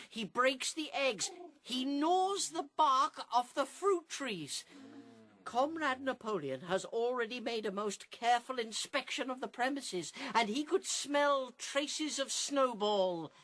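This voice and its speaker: A woman's voice: sits high, a bit bright, heavily acted. A woman playing a glib, scheming propagandist pig in a radio drama, insistent and slyly persuasive. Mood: insinuating.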